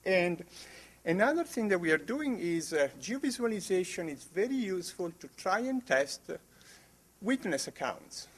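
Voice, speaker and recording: A man with an Italian accent giving an academic conference presentation, halting often with fillers and restarts. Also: high voice, sing-song, slightly rough. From Italy.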